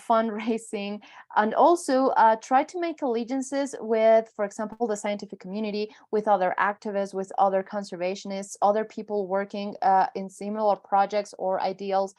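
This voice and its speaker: A woman's voice: medium pitch, darkish tone. A woman panelist giving conversational, earnest advice and explaining her points step by step.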